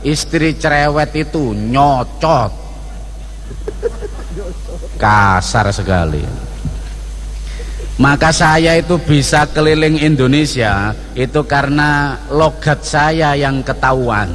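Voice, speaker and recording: Joking East Javanese preacher in a mosque. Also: male voice, fairly high pitch, slow, pauses often. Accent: East Javanese (Surabaya). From East Java. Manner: jokey, animated, playing to the congregation. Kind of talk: sermon with humorous prayers. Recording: reverberant mosque hall, faint ambience.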